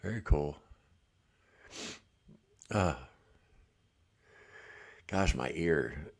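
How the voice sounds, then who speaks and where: A man's voice: extremely low pitch, extremely slow, gravelly. A middle-aged man hosting a casual livestream, chatting and reading comments, a little congested. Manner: relaxed, chatty, laughs often. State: congested, blocked ear. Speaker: livestream host.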